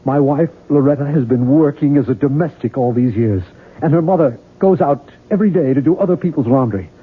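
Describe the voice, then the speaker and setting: An actor playing an intense, tormented American novelist in a 1970s radio drama, speaking with fervent earnestness. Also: male voice, medium pitch, a little fast, breathy edge, phone-quality sound, lightly acted. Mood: earnest, bitter. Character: tormented, intense struggling male novelist, obsessed and pleading.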